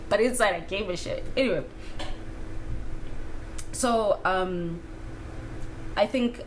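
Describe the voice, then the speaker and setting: A woman around thirty from South Africa, talking through spiritual ideas in a candid, casual monologue with frequent fillers and the odd swear word. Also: lowish voice. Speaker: spiritual vlogger. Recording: clear background noise.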